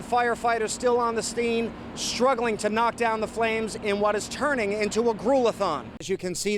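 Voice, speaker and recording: Man, TV news reporter, high voice, punchy emphatic delivery, broadcast field reporting, light background noise. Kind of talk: broadcast news reporting, live stand-ups and scripted packages.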